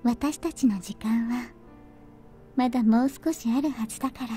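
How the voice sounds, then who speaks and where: A woman voicing a quiet, earnest, bluntly affectionate young heroine in a Japanese visual novel. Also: fairly high pitch, quick pace, lightly acted. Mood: quiet, tender. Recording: music under the voice. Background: background music.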